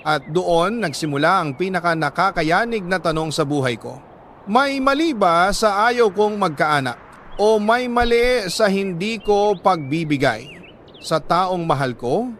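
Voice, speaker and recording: A man reading a dramatic scripted story in a solemn, measured way, pausing for emphasis. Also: high-pitched, expressive, fairly bright tone, slight background noise. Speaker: narrator reading a listener's letter.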